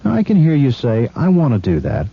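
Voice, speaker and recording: A man's voice: wildly swooping pitch, a little fast, creaky. A man announcing a radio commercial in the manner of a mock sermon, exhorting listeners. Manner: preacherly, exhortatory. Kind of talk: mock-sermon advertising pitch. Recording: muffled radio recording, faint rumble.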